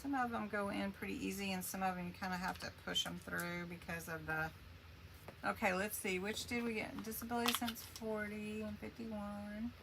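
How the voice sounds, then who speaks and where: Chatty woman doing a craft livestream, slow and rambly, bit of vocal fry, noisy background hum. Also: medium pitch, steady tone. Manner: chatty, rambling, answers viewer comments. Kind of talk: casual craft demo livestream.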